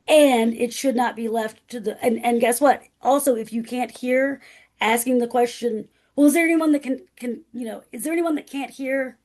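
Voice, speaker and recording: A woman speaking as a guest presenter, a professor with a loud, carrying voice who talks emphatically, often checking in with "right?". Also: on the high side, normal speed. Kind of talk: conversational presentation.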